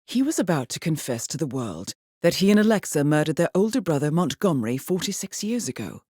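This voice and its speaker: Dry, poised spy handler, lively intonation. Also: female voice, low voice, a little fast, breathy edge, no room sound, heavily acted. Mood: wry, intrigued. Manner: composed, clipped, wryly understated. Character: poised, dry-witted female intelligence handler guiding an assassin. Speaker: mission handler. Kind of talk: scripted game briefing dialogue.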